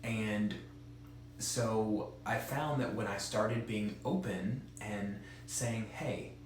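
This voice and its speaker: A man's voice: fairly low pitch, slow pace, very echoey, slight background noise. A man who stutters gives an earnest, direct instructional talk as a presenter for an advocacy group.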